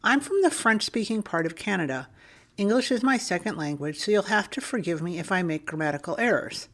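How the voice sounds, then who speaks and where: A woman co-hosting a podcast, reading a listener's email aloud in a steady, clear manner. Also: mid-range voice, brisk, a little hiss or hum.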